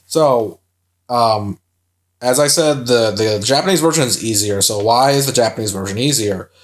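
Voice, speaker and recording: A man's voice: medium pitch, normal speed. A young man co-hosting a casual video game playthrough, rambling and swearing often, with lots of filler words. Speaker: co-host playing a video game.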